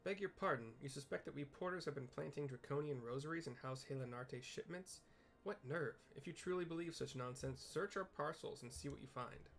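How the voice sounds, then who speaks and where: A man's voice: a little high, brisk, vocal fry, fairly bright tone. A man voicing a proud, indignant porter in a fantasy game, speaking formally and in protest. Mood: affronted. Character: haughty, affronted male porter, proud and protesting.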